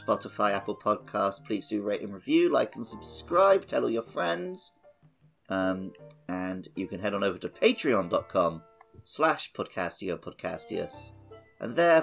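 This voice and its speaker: Low-voiced man on a casual podcast. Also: vocal fry. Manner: informal, colloquial. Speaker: podcast co-host. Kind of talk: casual gaming podcast chat.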